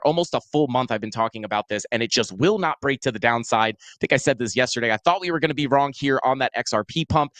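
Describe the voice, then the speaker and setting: A man's voice: mid-range voice, a bit bright, dry recording. A man hosting a crypto show, talking casually through market charts with many fillers. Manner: casual, filler-heavy, self-deprecating. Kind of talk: casual chart analysis talk. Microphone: clip-on mic.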